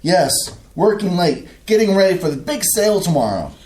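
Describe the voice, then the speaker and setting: An actor doing a grumpy middle-aged husband who complains with folksy oaths. His pitch jumps up and down a lot as he grouses. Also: very animated, a little fast, darkish tone, roomy sound. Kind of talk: staged play reading.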